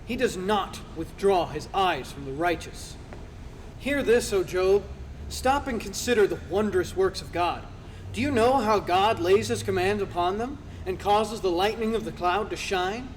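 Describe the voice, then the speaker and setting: A man's voice: high voice, measured pace. A man playing an earnest male counselor who rebukes and lectures in a declamatory dramatized scripture reading. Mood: earnest, reverent. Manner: declamatory, preaching. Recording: reverberant hall, faint background noise.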